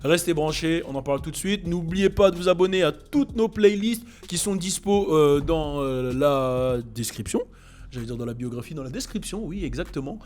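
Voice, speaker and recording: A French man with a French accent, hosting a casual chat about rap, relaxed and full of fillers and restarts. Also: fairly high pitch, moderate pace. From France. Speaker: podcast host.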